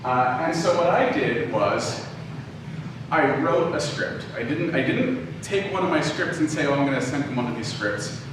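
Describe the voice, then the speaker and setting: A man giving an informal, conversational lecture, often halting and backtracking to rephrase as he tells personal anecdotes. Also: fairly high pitch, expressive. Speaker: lecturer, audio drama writer and producer. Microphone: distant from the speaker. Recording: very echoey room, distant mic, clearly noisy.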